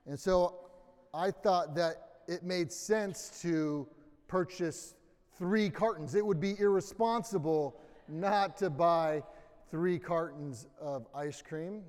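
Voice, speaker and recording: American man preaching in a boomy, echoing hall. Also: a little mellow. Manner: informal, self-deprecating humor. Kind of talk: conversational sermon with personal anecdotes. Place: large indoor church room, amplified.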